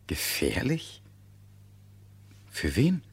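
An actor playing an earnest, polite, pleading suspect in a German radio crime drama. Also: male voice, mid-range voice, wildly swooping pitch, drawn-out words, husky, heavily acted.

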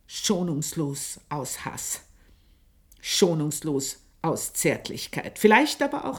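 An actress playing an aging, brooding housemaid in a radio play monologue, moving from loud outbursts to hushed whispers. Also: female voice, deep voice, husky, full character voice. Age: older.

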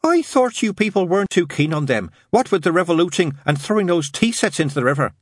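A chatty nun as a male narrator puts her on, naive and eager to please. The voice flutters high with big swoops, gushing and wittering as if flustered. It is a storybook character, recorded close and dry. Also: very animated, fast talker, husky, dry recording, exaggerated voice.

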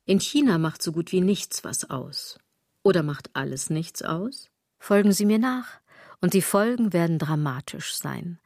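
A woman's voice: fairly low pitch, animated, unhurried, darkish tone, no echo, clean studio sound. An actress playing a sardonic, aging woman in a literary radio drama, speaking in an ironic, declamatory way. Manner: ironic, declamatory, wordplay-laden delivery. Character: sardonic aging woman musing on fashion and consumerism.

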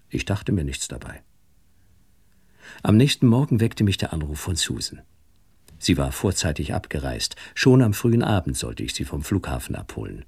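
An actor playing a worldly, detached married man, narrating and speaking in a radio drama with a husky voice. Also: a bass voice, no room sound, clean studio sound, exaggerated voice. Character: worldly, detached married man recounting his own story. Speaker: narrator and male lead. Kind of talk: first-person radio drama narration and dialogue.

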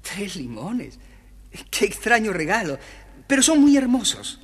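An actor playing a dreamy, polite and eager young prince in a children's audio tale. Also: male voice, sits high, sing-song, measured pace, fairly bright tone, slightly rough, full character voice. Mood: wonderstruck. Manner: polite, wide-eyed, theatrical.

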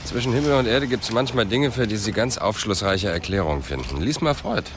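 Deep-voiced, calm police inspector. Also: male voice, fairly quick, background noise. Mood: thoughtful. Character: calm, probing male police inspector on a murder case. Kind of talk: German radio crime drama acting.

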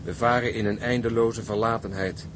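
A man's voice: on the low side, steady tone, moderate pace, close to an everyday voice. An actor playing a sober seaman who narrates his grim voyage diary in an audio drama. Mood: grave, brooding. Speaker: narrator and ship's officer keeping a diary. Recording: clearly noisy audio track.

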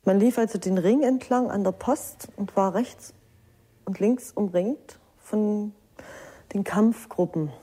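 A woman's voice: medium pitch, takes it slowly, dark tone, husky. A woman giving a personal eyewitness recollection for a documentary.

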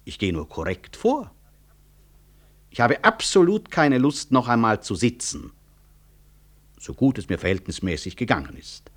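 An actor playing a middle-aged medical professor in a radio drama, dryly courteous and sardonic. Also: male voice, mid-range voice, big pitch swings. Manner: dry, sardonic, measured courtesy. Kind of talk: 1960s German radio drama acting.

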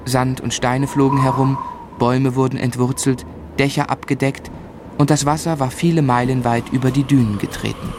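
An actor playing a grave, shaken narrator recalling a tragedy, with measured, dramatic literary delivery in a radio play. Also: male voice, mid-range voice, steady tone, a little mellow, slight background noise, lightly acted. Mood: tense, urgent. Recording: studio radio drama with musical underscore.

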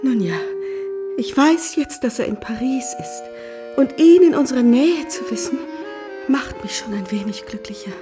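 A woman playing a pious, anguished abandoned baroness in a radio drama, speaking earnestly and formally with a breathy voice. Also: high-pitched, lively intonation, moderate pace, over music. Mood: subdued, hopeful. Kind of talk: German radio drama acting.

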